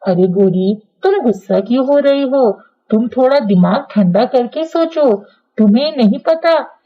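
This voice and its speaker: A woman's voice: fairly high pitch, voice pushed down. A woman voicing an earnest, protective male crow husband in a cartoon story, firm and reasoning. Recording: dull, lo-fi cartoon audio.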